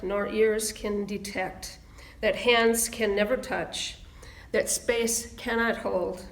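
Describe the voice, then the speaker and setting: A woman minister welcoming people to an online service and reading opening words slowly and reverently. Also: medium pitch, roomy sound, poor audio.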